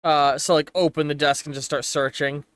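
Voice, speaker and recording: Smooth higher-voiced male game master. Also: steady tone, a bit bright. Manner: halting, casual, pausing to find words. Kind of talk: improvised tabletop game narration.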